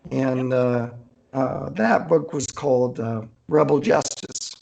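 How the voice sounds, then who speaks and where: A middle-aged man speaks with a gravelly, fry-laden voice in a casual interview. He is an easygoing storyteller who often halts and searches for his words. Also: mid-range voice, takes it slowly, a little mellow, a little hiss or hum, rough recording. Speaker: author being interviewed.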